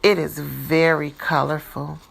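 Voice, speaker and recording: A woman reading a children's picture book aloud in a deliberate, expressive teacher's style. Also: low voice, vocal fry, slightly rough, rough recording. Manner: deliberate, animated, dramatizing for young children.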